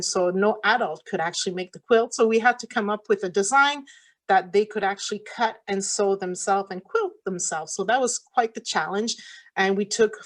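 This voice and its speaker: Woman, french first language, middle-aged, shop owner presenting, mid-pitched, relaxed filler-heavy talk. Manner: casual, many fillers and restarts. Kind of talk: presentation over slides. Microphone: computer mic on a video call.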